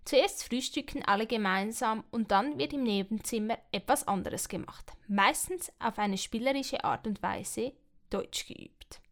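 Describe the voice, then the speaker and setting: Woman presenting a community radio feature. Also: a little high. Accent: Swiss German. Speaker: radio host. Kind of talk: scripted radio narration.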